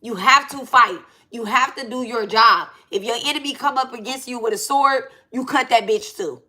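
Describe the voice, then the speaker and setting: A woman in her late thirties speaks in a bright, slightly high voice. She talks unscripted to her live audience about spiritual matters, blunt and animated. She peppers it with slang and checks in with "right?" and "okay?". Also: normal speed. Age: 30s. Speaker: spiritual reader and channel host.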